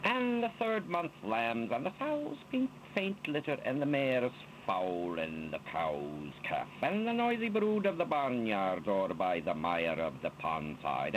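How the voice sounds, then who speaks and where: A man giving an expressive, dramatic poetry recitation in a theatrical manner, drawing out his words. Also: medium pitch, a little hiss or hum, poor audio. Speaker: reader of poetry.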